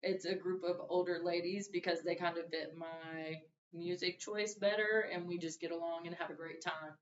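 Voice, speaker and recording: A young woman gives a relaxed, conversational introduction speech to her class. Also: moderate pace. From Louisiana and Arkansas. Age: young adult. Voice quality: deep voice. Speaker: student. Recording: roomy, distant, dull mic with faint hiss.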